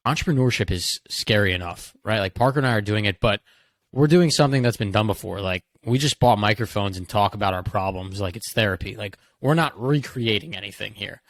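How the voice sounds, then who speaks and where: Podcast co-host, man in his twenties, lowish voice, dry close sound, upbeat and chatty. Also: no echo. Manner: enthusiastic, leans on "like". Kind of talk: casual podcast chat.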